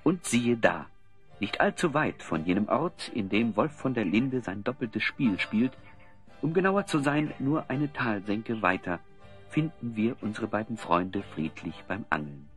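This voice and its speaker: A man's voice: on the low side, animated, measured pace, soft background music. A man narrating a radio play as a storyteller, with scripted delivery.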